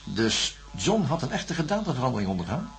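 A man's voice: mid-range voice, big pitch swings, brisk, vocal fry, music in the background. An actor playing an inquisitive male doctor, carefully questioning someone in a science-fiction radio drama. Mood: curious, careful. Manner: probing, careful wording.

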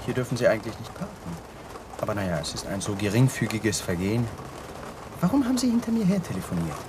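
An actor playing a wily, patronising police lieutenant in a radio crime drama, probing with false friendliness. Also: male voice, mid-range voice, very animated, quick pace, creaky, noisy background. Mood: sardonic.

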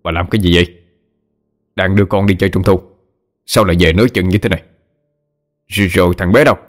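A man voicing a domineering, hot-tempered older husband, gruff and scolding, in an audio horror story. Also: sits low, brisk, a little mellow. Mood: alarmed, irritated. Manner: gruff, scolding, barking questions.

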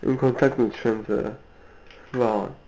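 A man rambling casually in a halting, slangy and crude way. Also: medium pitch, steady tone, background noise.

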